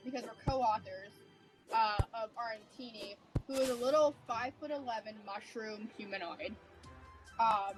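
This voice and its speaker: Animated, higher-voiced woman telling stories. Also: normal speed, low-quality recording. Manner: animated storyteller, swears casually. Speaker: co-host and co-author. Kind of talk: casual gameplay chat. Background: game music.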